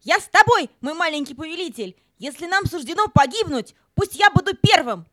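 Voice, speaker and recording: A woman's voice: very high voice, lively intonation, a little fast, fairly bright tone, no room sound, studio quality. A woman voicing a loyal, brave male dog, fervent and devoted, in a children's audio play. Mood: brave devotion.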